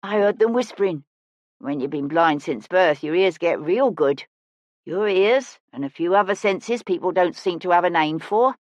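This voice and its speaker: A woman's voice: on the low side, animated, normal speed, no echo, muffled recording, close to an everyday voice. A woman voicing a blind woman in her sixties, a folksy, feisty but kindly street musician in an audiobook. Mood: gruff concern. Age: 60s. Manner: folksy, plain-spoken, feisty.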